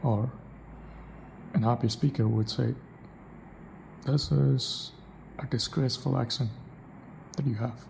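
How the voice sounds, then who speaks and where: Gravelly Indian-accented man thinking aloud. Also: mid-range voice, steady tone, takes it slowly, long pauses, a little mellow, a little hiss or hum, poor audio. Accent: Indian English. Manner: reflective, thinking aloud with restarts. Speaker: podcaster. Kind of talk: solo spoken monologue.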